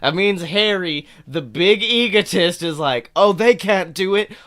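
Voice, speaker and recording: Higher-voiced man chatting casually. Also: unhurried. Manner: animated, jokey, acts out imagined dialogue; casual swearing. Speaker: podcast co-host. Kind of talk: casual chat about films.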